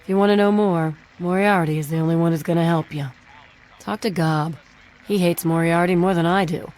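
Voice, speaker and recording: A woman's voice: fairly low pitch, fairly even intonation, a little fast, darkish tone, natural voice. A woman voicing a sassy, jaded saloon worker in a video game, blunt and familiar in a world-weary way. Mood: wry, resigned. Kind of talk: video game character dialogue.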